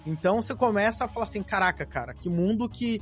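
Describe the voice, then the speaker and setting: A Brazilian man hosting an interview, chatting in an animated way with lots of fillers. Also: high voice, quick pace, faint music behind. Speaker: podcast host. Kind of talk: casual interview chat.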